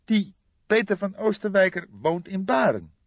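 Man putting on a high-pitched young detective sidekick. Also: big pitch swings, moderate pace, no room sound, full character voice. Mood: puzzled. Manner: querying, slightly exasperated. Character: young male police detective, eager, weary, questioning sidekick. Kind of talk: audiobook character dialogue. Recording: dull lo-fi recording.

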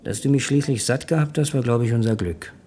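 A blunt working-class man played by a male actor in a radio drama, talking to his former wife. He is nostalgic and familiar, needling her in plain colloquial speech at a middling pitch. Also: fairly quick, mellow, natural voice. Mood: nostalgic, rueful. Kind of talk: naturalistic German radio play acting.

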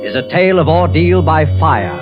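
A man announcing a 1940s radio thriller from a script in an ominous, deliberate way. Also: on the high side, sing-song. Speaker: radio show announcer and host. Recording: dull 1940s radio recording, organ music.

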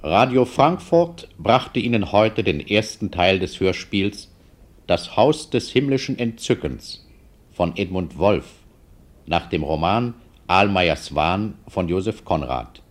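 Low, measured male radio announcer. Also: big pitch swings. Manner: formal, measured. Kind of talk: formal mid-century radio announcement, reading credits.

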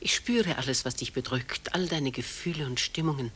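A woman's voice: extremely low pitch, moderate pace, a bit bright, slightly rough, exaggerated voice. An actress playing an elderly, possessive mother in a German radio play, speaking in a tender, plaintive, probing manner. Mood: probing, earnest. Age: older. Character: elderly, still beautiful, possessive, anxiously doting mother.

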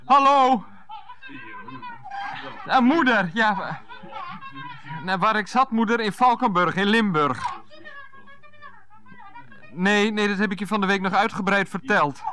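A man's voice: sits high, a little slow, pauses often, pushed and bright. An actor playing an evasive, put-upon grown son who stammers and grumbles to his mother on the phone in a 1990s radio comedy. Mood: flustered, placating.

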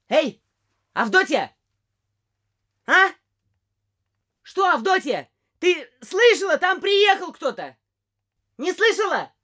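An actress playing a nosy, fretful middle-aged mayor's wife in a theatrical comedy audio play, scolding and insisting in exclamations. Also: female voice, extremely high pitch, sharp tone, heavily acted.

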